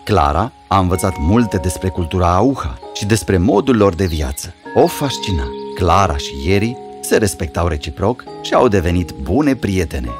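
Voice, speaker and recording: Deep-voiced, animated male storyteller. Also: few pauses, faint music behind. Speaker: narrator. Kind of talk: scripted fairy tale narration.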